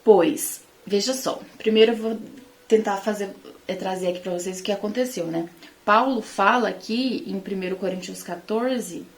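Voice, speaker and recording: A woman's voice: mid-range voice, normal speed. A Brazilian woman teaching the Bible informally to online followers, conversational and emphatic in her delivery.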